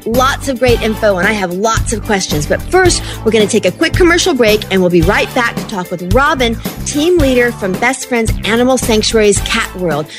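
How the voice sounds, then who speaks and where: A woman's voice: on the high side, animated, normal speed, hardly any pauses, music in the background. A woman from the southeastern United States hosting a pet radio show, mixing upbeat scripted intros with gushing, enthusiastic interview chat.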